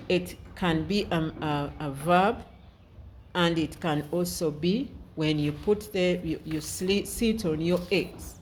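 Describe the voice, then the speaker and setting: Middle-aged woman, primary teacher, low-ish voice, very slow and patient, faint noise in the background. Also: darkish tone. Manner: patient, repeats words and spells them out. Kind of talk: slow children's lesson.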